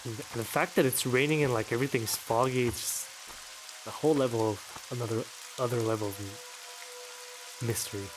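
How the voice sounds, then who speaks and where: A man's voice: mid-range voice, fairly even intonation, normal speed, long pauses, noisy background. A man giving casual commentary as he plays through a video game. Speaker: let's play creator.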